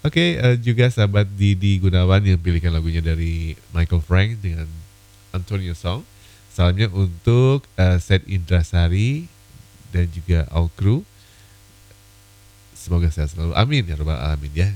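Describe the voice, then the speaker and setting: Hoarse low-voiced man DJ with a cold. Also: moderate pace, darkish tone, soft background music, low-quality recording. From Indonesia. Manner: chatty, friendly, many fillers and asides. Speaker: radio DJ. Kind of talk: evening radio request show.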